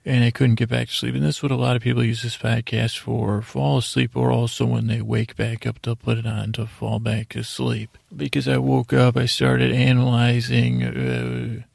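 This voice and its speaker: Male podcast host, very creaky fry, flat delivery, halting and meandering bedtime storytelling. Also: mid-range voice, no echo, clean studio sound. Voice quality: gravelly, creaky voice. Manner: halting, meandering, trailing off and restarting mid-phrase. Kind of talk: rambling bedtime storytelling monologue.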